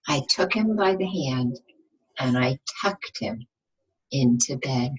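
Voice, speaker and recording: A woman reading a picture book aloud to young children like a teacher, expressive, often asking the listeners questions. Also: very deep voice, slow pace, breathy edge, dull audio. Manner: asks the listeners questions, dramatic emphasis.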